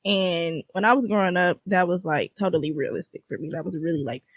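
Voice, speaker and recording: A woman's voice: on the low side, brisk, no echo. Girl in her 20s doing a chatty podcast, blunt and slangy, really dry close audio. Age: 20s (twenty-three). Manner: conversational, slangy, frank, swearing freely. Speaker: podcast host. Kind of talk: casual, candid solo podcast chat.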